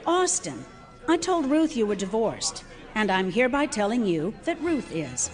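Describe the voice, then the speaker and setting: An actress playing a bossy, cheerful middle-aged hostess and matchmaker in a stage comedy, brisk and sociable. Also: female voice, a little high, big pitch swings, faint music behind. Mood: cheerful, prodding. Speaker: actor in an audio play.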